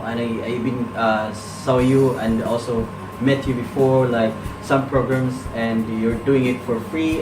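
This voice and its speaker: A man hosting a talk show interview in a halting, polite and formal manner. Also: mid-range voice. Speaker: guest host. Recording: echoey, distant mic, music clearly behind. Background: music.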